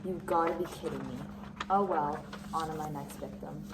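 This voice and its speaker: A woman's voice: low-pitched, moderate pace. A woman playing a mean, scheming, snobbish teenage cheerleader with a catty, scornful manner in amateur drama. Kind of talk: amateur dramatic monologue reading. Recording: echoey room with clear noise.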